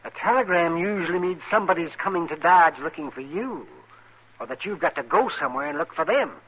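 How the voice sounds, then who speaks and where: An actor playing a nosy, teasing older frontier doctor with a folksy raspy delivery in a western radio drama. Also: male voice, a little high, fairly quick. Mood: wry grumbling. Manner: animated, folksy, chuckling drawl. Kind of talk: 1950s western radio acting. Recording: muffled old radio broadcast.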